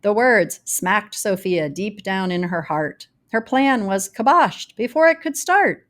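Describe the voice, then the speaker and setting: A woman's voice: mid-range voice, expressive. Woman reading a rhyming picture book aloud. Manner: dramatic, deliberate storytelling with varied emphasis. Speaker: storybook reader.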